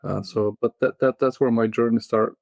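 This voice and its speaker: A man in his thirties from Bulgaria with a Bulgarian accent, a guest in a casual interview who talks in a halting way, full of fillers and restarts. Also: mid-range voice, steady tone, vocal fry, darkish tone, dry recording, studio quality. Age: 30s.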